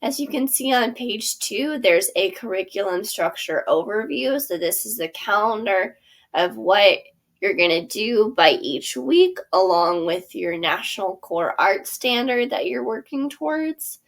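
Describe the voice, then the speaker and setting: A woman with a gravelly edge to her voice talks viewers through her teaching materials as a voice-over on a screen share. Her pitch sits in a middle range. She keeps a friendly instructional tone, with frequent ums and quick self-corrections along the way. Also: expressive. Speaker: theater teacher presenting her curriculum. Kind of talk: screen-share product walkthrough.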